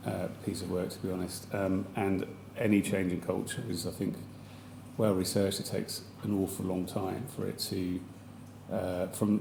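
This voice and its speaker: A man with a slightly croaky voice, giving hesitant formal testimony as a chief executive at a public hearing, with many fillers and restarts. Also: sits low, dark tone, a little hiss or hum. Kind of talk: formal testimony under questioning. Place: large public hearing hall. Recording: echoey hall with faint ambience.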